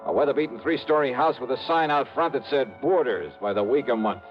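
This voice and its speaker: An actor playing a hardboiled male insurance investigator, narrating and talking in a brisk, wisecracking 1950s radio drama style. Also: mid-range voice, music in the background, close to an everyday voice. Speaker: narrator and lead. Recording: muffled old radio recording.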